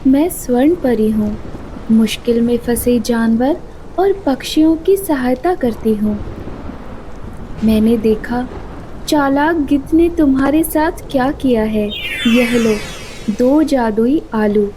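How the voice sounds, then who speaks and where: A woman's voice: on the high side, takes it slowly, warm tone, a little hiss or hum, low-quality recording. A woman voicing a benevolent golden fairy in a cartoon, gracious and reassuring as she offers help. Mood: gracious, calm.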